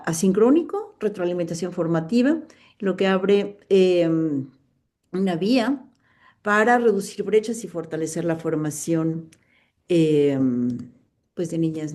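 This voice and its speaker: A woman's voice: lowish voice, animated, a little slow, warm tone. A woman with a Mexican accent moderating an online book launch, reading out chat comments with frequent fillers over a video call.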